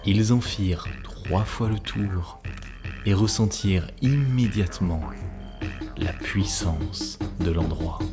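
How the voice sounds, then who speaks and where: A man narrating a cartoon story from a script in a solemn, measured way. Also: low-pitched. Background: music.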